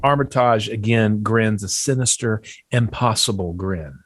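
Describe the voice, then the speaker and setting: A man's voice: on the low side, unhurried. A man reading stage directions from a horror screenplay as scripted narration.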